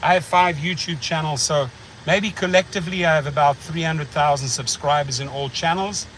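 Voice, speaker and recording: A middle-aged man with a South African accent talks in a loose, rambling way, blunt and quick to repeat words as he works out his thoughts. His voice sits a little high for a man. A faint air-conditioning hum runs behind him. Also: fairly even intonation, fairly bright tone, poor audio. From United States. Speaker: channel host. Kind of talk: casual livestream chat.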